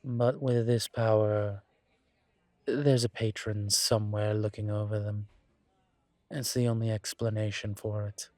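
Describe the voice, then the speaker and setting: A man playing a composed, wry incubus investigator in a scripted roleplay audio drama, speaking in a measured, deliberate, conversational way. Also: fairly low pitch, little pitch movement, a little mellow, dry recording, natural voice. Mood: serious, measured. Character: composed, wry male incubus demon investigator, politely self-assured.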